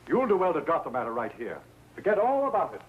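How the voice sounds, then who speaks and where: An actor playing a stern, proud husband in a 1950s television crime drama, curt and commanding. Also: male voice, a little high, wildly swooping pitch, fast, narrow, tinny audio. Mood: stern, indignant. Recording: faintly noisy 1950s TV soundtrack.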